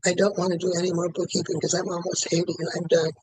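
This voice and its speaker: A man in his late seventies speaks in a high, flat voice, close and dry. He repeats the same statement again and again at a brisk, steady clip as a client in a tapping session. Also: breathy edge, no room sound. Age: 70s. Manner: repeats one statement over and over in a quick, even rhythm.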